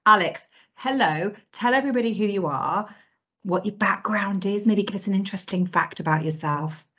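A woman's voice: mid-range voice, moderate pace, a bit bright, breathy edge, dull audio. A woman hosting a podcast, upbeat and jokey as she introduces the topic and puts questions to her guest. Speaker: podcast host.

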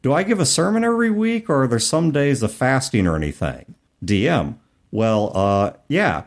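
A man co-hosting a podcast in a casual, explanatory way, animated and expressive as he talks and reads aloud. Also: medium pitch, moderate pace. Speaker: podcast co-host. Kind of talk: casual chat about tabletop gaming, reading passages aloud.

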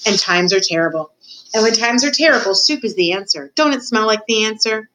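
A woman's voice: mid-range voice, lively intonation, brisk, pushed and bright, slight background noise, voice pushed up, poor audio. A woman voicing a hearty, big-hearted, blustering palace cook in a storybook, with folksy, emphatic speech. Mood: earnest, rueful. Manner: folksy, emphatic, colloquial speech with ain't and don't.

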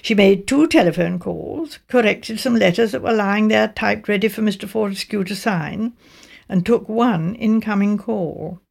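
A woman with a Received Pronunciation British accent, reading a mystery novel as scripted narration in a measured, precise way. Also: mid-range voice, vocal fry, a little mellow. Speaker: audiobook narrator.

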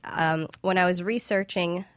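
A woman's voice: lowish voice, steady tone, a little slow, smooth, dry recording. A woman guest expert answering interview questions on a radio show in an explanatory, hedging way.

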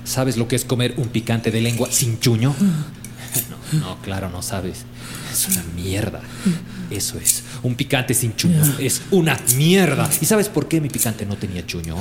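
An actor playing a menacing, foul-mouthed butcher crime boss in a radio drama, questioning deliberately with a Bolivian accent. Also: male voice, medium pitch, lively intonation, close to an everyday voice. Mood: menacing, aggrieved. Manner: deliberate, threatening, rhetorical questioning. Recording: clearly noisy background hum and clatter of dishes.

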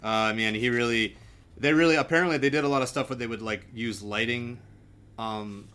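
A man's voice: mid-range voice, a little fast, pushed and bright. A man hosting a podcast, talking about film in a casual, effusive, rambling and informal way. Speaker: podcast host. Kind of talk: casual film discussion chat. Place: home, remote recording. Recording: clearly audible background noise.

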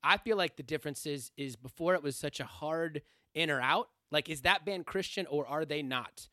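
30s guy hosting a podcast, bright punchy voice, dry close sound, kinda halting and restarting stuff. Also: a little high, no echo. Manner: halting, restarts phrases, earnest. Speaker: podcast host and band singer. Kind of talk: casual conversation.

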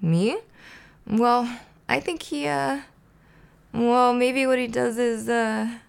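A woman's voice: medium pitch, moderate pace, vocal fry, smooth, lightly acted. A woman voicing an earnest, apologetic and somewhat hesitant male puppet character in a children's story.

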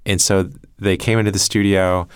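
A man's voice: low voice, level tone, moderate pace, smooth, no room sound, studio quality. A man who produces a podcast, explaining his work reflectively in an interview with hesitant, thoughtful phrasing.

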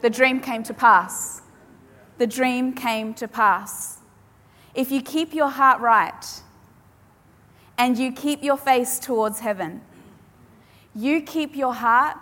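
A woman preacher, a native Polish speaker, giving an emphatic sermon to a congregation and repeating key phrases with dramatic pauses. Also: on the high side, bright, forceful tone. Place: large hall with audience. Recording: long hall reverb.

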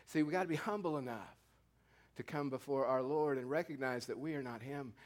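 A pastor from California delivering a sermon, stressing key words by slowing down, with frequent restarts. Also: male voice, medium pitch.

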